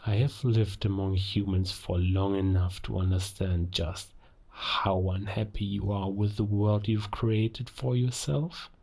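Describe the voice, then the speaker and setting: A gravelly, low-pitched male werewolf played by a man holds forth in a cinematic audio drama. He is cold and scornful of humankind, delivering his villain's monologue at an unhurried pace. Dramatic pauses make each threat deliberate and theatrical. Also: warm tone, exaggerated voice. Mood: bitter contempt.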